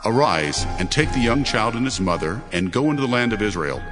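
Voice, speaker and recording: A man's voice: fairly low pitch, sing-song, brisk. A man playing a solemn angel who delivers divine commands in a declamatory dramatized scripture reading. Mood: urgent, commanding. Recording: music behind the voice. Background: music.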